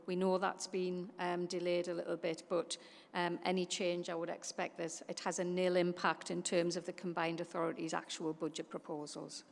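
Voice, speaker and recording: Woman finance officer, flat delivery, gravelly, echoey chamber. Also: lowish voice. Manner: hesitant, many ums, formal. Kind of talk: briefing a meeting.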